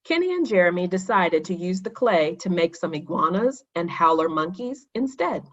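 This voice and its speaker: A woman narrating a children's story in an expressive read-aloud manner. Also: lowish voice, normal speed, muffled recording. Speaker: storybook reader.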